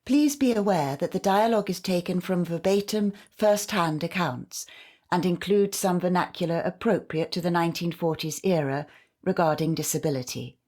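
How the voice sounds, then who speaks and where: A woman's voice: on the low side, normal speed, darkish tone. A woman announcer reading a scripted introduction to an audio play.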